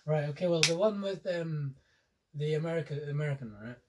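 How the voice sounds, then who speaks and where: Hesitant teenage Scottish lad, off-mic. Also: male voice, fairly high pitch, a little fast, a little mellow, recorded from a distance. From Scotland. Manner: hesitant, lots of ums. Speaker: podcast co-host. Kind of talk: casual chat among friends.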